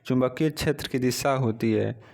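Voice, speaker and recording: A man's voice: mid-range voice, normal speed, gravelly. Man drilling exam questions by phone. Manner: steady question-and-answer drilling. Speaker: exam coaching teacher. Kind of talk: exam practice lesson.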